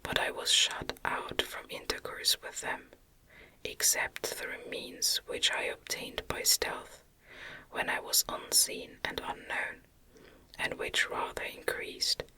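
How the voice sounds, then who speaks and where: A woman whispering a gothic novel as an ASMR reading, gently and deliberately, with soft articulation. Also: rough recording. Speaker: ASMR reader.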